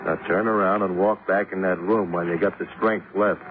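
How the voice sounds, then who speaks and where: An actor playing a big, muscle-bound, threatening bouncer in a terse 1950s radio crime drama style. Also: male voice, deep voice, steady tone, brisk, close to an everyday voice. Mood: menacing. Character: large muscle-bound thug bouncer, menacing and gruff. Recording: tinny old radio recording, music behind.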